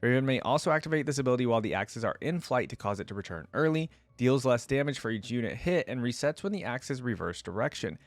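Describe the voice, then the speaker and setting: A man's voice: medium pitch, animated, quick pace, hardly any pauses, dry recording. A man hosting a reaction video, reading aloud and commenting in a casual, conversational way.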